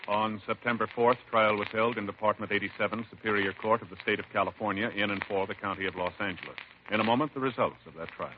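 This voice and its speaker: A male announcer reads formally and briskly in vintage radio style. His voice is fairly low. The recording is dull, with clear hiss. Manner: formal, official. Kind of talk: 1950s radio announcing, scripted. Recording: muffled, hissy 1950s radio recording.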